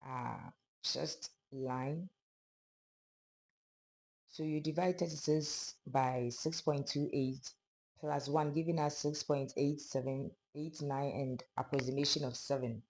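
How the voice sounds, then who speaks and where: Hoarse sewing teacher explaining steps. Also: medium pitch, measured pace. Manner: calm, step-by-step explaining of measurements. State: hoarse, losing voice. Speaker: sewing instructor. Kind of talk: pattern-drafting tutorial narration.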